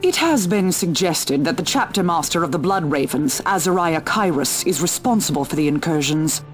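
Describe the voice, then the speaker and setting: A woman's voice: lowish voice, expressive, moderate pace, soft background music, lightly acted. A woman voicing a cold, threatening human inquisitor in a video game, formal and contemptuous. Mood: cold, resolute.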